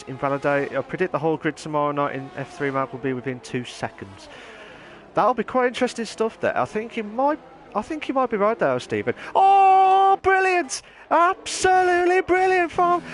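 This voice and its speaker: High-voiced race commentator, rambling. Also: male voice. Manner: rambling, excitable, bursts into shouting. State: voice going hoarse. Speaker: sim racing league commentator. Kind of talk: live esports race commentary.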